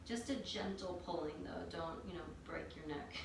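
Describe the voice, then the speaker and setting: A woman teaching a yoga class, calmly guiding breath and movement step by step. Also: fairly low pitch, moderate pace, creaky. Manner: calm, gentle cueing of breath and movement. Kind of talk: guided yoga instruction. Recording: very echoey room, distant mic, faint noise.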